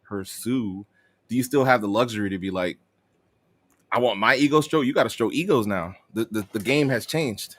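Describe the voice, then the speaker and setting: A man's voice: fairly low pitch, moderate pace, no echo. A man co-hosting a podcast, teasing the others and pressing his point in casual chat. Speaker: podcast co-host.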